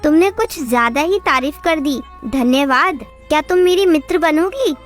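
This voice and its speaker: Woman as a gentle, high-voiced magical golden fish. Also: moderate pace, soft background music, natural voice. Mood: grateful. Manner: kindly, reassuring. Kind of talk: children's cartoon story acting.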